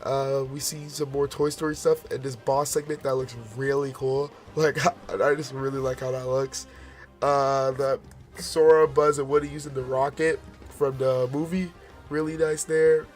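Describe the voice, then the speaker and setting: A man's voice: mid-range voice, flat delivery, normal speed, soft background music. A man losing his voice, forcing it out as he chats casually through gaming trailer commentary, rambling with many fillers and restarts. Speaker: gaming video host.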